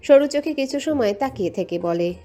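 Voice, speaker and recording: A woman's voice: sits high, animated, normal speed, mellow. A woman reading a scripted romantic story as a dramatic narrator, with music behind her. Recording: music under the voice. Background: background music.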